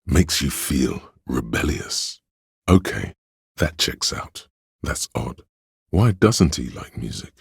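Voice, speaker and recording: A man voicing a wry, pretentious inner voice of artistic thought in a video game, narrating with measured, droll pomposity and a very deep, gravelly, husky delivery. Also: very animated, no room sound, studio quality, exaggerated voice. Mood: arty enthusiasm.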